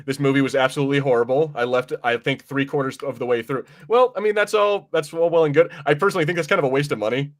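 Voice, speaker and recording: A man's voice: mid-range voice, fast, few pauses. A man hosting a podcast, chatting casually about films in an informal, slangy way with some mild swearing. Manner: informal, slangy, mildly profane. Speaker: podcast host. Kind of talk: casual film review chat.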